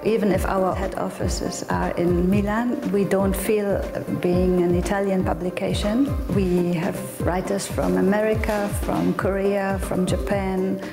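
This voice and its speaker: A woman's voice: mid-range voice, flat delivery, a little slow, vocal fry, hardly any pauses. Woman interviewee from Germany, echoey sound. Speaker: magazine founder, interviewee. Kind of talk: interview answers. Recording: echoey room with long reverb. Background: music.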